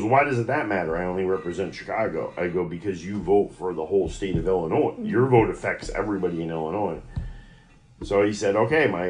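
Middle-aged American man, low register, interview guest spinning rambling personal anecdotes conversationally. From United States (Yonkers, New York). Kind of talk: conversational oral-history storytelling.

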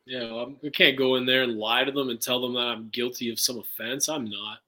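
A man's voice: mid-range voice, flat delivery, fairly quick, sharp tone. A man speaking plainly and earnestly as a guest on an online interview. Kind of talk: casual interview.

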